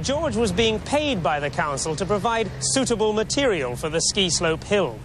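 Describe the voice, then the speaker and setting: A man in his thirties with an English accent giving a scripted television report in a wry, measured way with deliberate pauses. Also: on the high side, pushed and bright. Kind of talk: scripted investigative report, to camera and voice-over. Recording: 1970s TV film sound, background noise.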